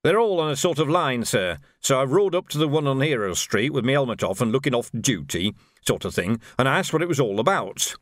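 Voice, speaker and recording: A man's voice: mid-range voice, sing-song, brisk, no echo, clean studio sound, natural voice. A man voicing an earnest, rambling cavalry trooper who reports in a colloquial, deferential way in an audiobook. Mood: earnest, impressed. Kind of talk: audiobook character voice.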